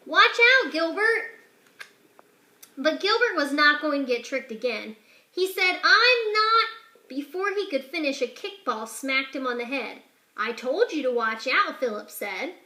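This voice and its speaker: High bright lady reading a kids' story out loud, does the voices, echoey room. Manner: expressive, shifts pitch and loudness for each character. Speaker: teacher reading aloud. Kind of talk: children's picture book read-aloud.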